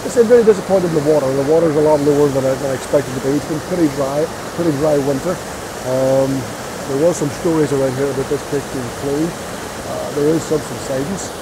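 A man in his fifties vlogging casually outdoors on a lapel mic, thinking aloud in a halting way. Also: on the high side. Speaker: landscape photographer vlogging. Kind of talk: casual explanatory vlog narration. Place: outdoors by a rushing woodland stream. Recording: loud hissing waterfall noise. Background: loud rushing waterfall water.

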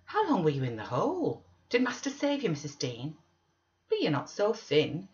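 An excitable countrywoman servant acted out by a female narrator, gossipy and exclamatory. Her pitch swoops high and wide as she chatters. The audiobook sound is a little dull. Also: moderate pace. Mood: excited, nosy.

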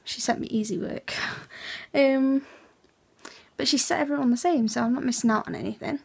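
A teenage girl from England, raspy and hoarse, chatting quietly in a late-night webcam vlog in her room, half-whispering so as not to wake the house. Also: sits high, normal speed. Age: teens. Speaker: vlogger. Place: bedroom at night, indoors. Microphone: laptop webcam.

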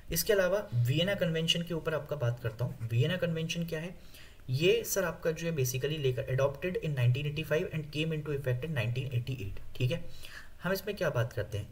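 A man with an Indian accent teaching an exam-prep lesson, explaining with many fillers, reading English lines slowly and asking viewers questions. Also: mid-range voice. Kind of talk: exam-prep lecture over slides.

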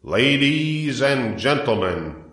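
A man voicing a pompous, grandiose fairground loudspeaker announcer in a storybook reading. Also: medium pitch, sing-song, echoey room, pitched above the performer's own voice. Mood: pompous, proud. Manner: pompous, slow formal proclaiming. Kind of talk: storybook character voice in audiobook.

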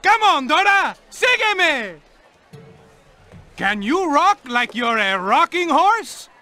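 A booming jolly cartoon king. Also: very animated, takes it slowly, sharp tone, full character voice. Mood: playful. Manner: booming, playful, theatrical. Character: jolly dancing cartoon king, grown man. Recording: game audio over music.

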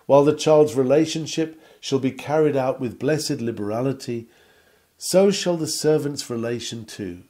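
A man reads a sermon from an old-fashioned script. His voice is mid-pitched and mellow, with a soft, warm tone. He phrases it like an orator, measured and earnest. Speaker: sermon reader.

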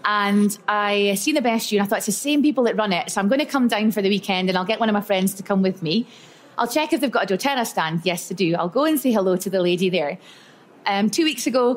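A woman giving a chatty, upbeat workshop talk to a live audience at an event. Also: mid-range voice. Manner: chatty and upbeat, storytelling with lots of "so" and "yeah". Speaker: speaker at a live expo talk.

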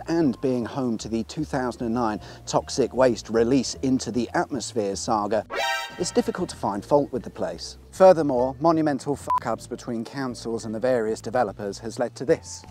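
A man's voice: mid-range voice, normal speed, music in the background. An English man in his thirties with a dry, sardonic manner, presenting and narrating from a script. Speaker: presenter of an exploration series. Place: outdoors on an empty open road.

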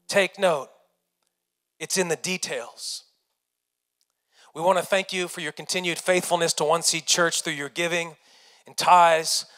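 A man's voice: a little high, fairly bright tone. An American man in his thirties preaching a sermon as a pastor, folksy and earnest, speaking into a handheld microphone. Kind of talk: sermon to an online congregation. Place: small indoor church stage room. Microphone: handheld microphone held close. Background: keyboard music.

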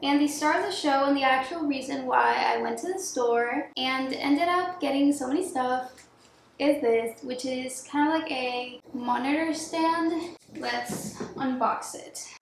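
Young female vlogger, slow casual chat, recorded in an echoey home office with noticeable background noise. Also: high voice. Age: young adult.

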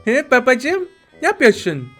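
A man's voice: very high voice, huge pitch swings, unhurried, pushed and bright, soft background music, pitched above the performer's own voice, heavily acted. A man voicing a cheeky, demanding baby boy in a video game, whiny, bossy and teasing.

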